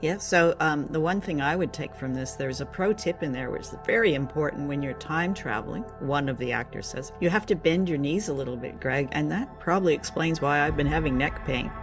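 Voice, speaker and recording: A woman's voice: deep voice, a little fast, hardly any pauses, over music. A woman hosting a radio show in a casual, chatty style, with a light, playful manner. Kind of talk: casual hosted chat.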